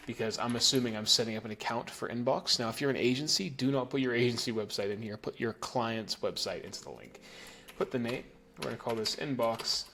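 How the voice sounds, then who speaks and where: A man raised in New Zealand with an American accent, casually explaining a software setup step by step and checking in with "okay?" and "right?". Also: mid-range voice. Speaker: email marketing instructor. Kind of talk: screen-recorded software tutorial.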